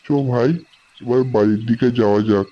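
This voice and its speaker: A man's voice: on the low side, flat delivery, brisk, natural voice. A man playing a friendly, responsible elder brother in an amateur horror cartoon, talking casually. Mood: cheerful. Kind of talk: amateur animated horror story dialogue. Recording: dull lo-fi sound with music.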